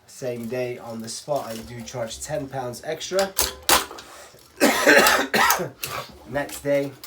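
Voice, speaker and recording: Low-key man from Spain over clattering noise. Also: extremely slow. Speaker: racquet stringer giving a tutorial. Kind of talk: casual hands-on tutorial talk. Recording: clattering workshop tool noise.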